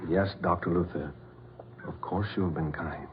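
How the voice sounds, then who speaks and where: An actor in an old-time radio horror play as a trained talking gorilla, obedient and submissive. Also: male voice, deep voice, moderate pace, a little hiss or hum, lightly acted. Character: trained talking gorilla, obedient, slow, subdued servant beast. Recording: muffled vintage radio drama recording.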